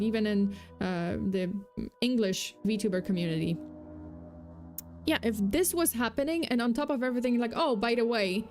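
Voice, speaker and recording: A woman's voice: on the high side, darkish tone. Chatty woman with a light Polish accent. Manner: chatty, full of fillers and self-corrections, reads chat aloud. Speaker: VTuber host. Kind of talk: casual true-crime livestream chat.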